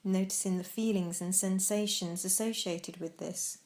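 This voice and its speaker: Woman guiding a meditation with measured phrasing. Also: mid-range voice, steady tone, darkish tone. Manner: measured, instructional, with deliberate pauses. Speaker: meditation guide. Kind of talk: guided meditation narration.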